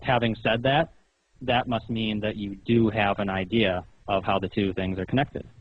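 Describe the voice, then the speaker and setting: A man's voice: fairly low pitch, fairly even intonation, moderate pace. A man on a phone call, questioning and explaining in an insistent, lecturing manner. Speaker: phone caller. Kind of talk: pointed questioning in a phone conversation. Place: recorded telephone call. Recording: muffled, roomy phone call recording.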